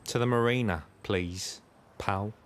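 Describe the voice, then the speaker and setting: Man acting pleading British gambler, low voice. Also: measured pace, slight background noise, natural voice. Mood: pleading, defensive. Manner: pleading, urgent. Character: drunk, defensive young male gambler pleading with partner. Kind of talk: naturalistic audio drama acting.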